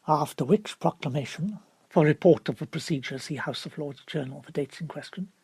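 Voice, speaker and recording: A man's voice: fairly high pitch, fairly quick, vocal fry, husky, no room sound. Male audiobook narrator, dry close recording. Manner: formal, expressive storytelling. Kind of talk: scripted literary narration.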